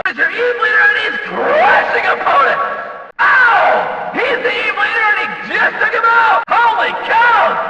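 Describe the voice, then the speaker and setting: A man playing a rowdy, over-excited demolition derby announcer in a racing video game, hollering exclamatory, gleeful commentary. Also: very high voice, very echoey, long reverb tail, far from the mic, very noisy, full character voice. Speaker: race announcer.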